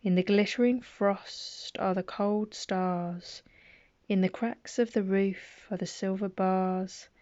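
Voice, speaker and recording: A woman's voice: mid-range voice, steady tone, takes it slowly, darkish tone, dry recording, lo-fi sound. A middle-aged woman reading children's poems aloud at bedtime, with playful, chatty asides between the poems.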